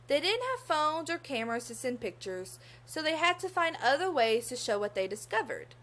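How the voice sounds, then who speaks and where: A woman's voice: high voice, sing-song, a bit bright. A young woman reading a children's story aloud expressively, in a teacherly manner. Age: young adult. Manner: teacherly, pauses to pose questions to young listeners.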